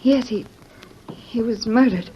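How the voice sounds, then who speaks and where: A woman's voice: fairly high pitch, slow, slight background noise. An actress playing a grateful, earnest young frontier woman in a 1940s radio western. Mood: breathless, earnest. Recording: dull 1940s radio recording.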